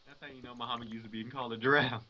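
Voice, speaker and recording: A man's voice: medium pitch, level tone, very fast, pushed and bright. A young man joking around with friends while playing a game together, playful and teasing. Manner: joking, playful, sings silly lines. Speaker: gamer in a group commentary. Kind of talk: casual gaming chat with friends. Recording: slightly dull lo-fi mic with faint hiss.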